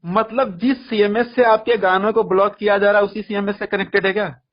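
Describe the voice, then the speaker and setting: A man's voice: high voice, level tone, a little fast. A man interviewing someone over the phone, deferential, often putting in short words of agreement as he listens. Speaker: interviewer recording a phone call. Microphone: phone call. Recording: muffled lo-fi phone call recording.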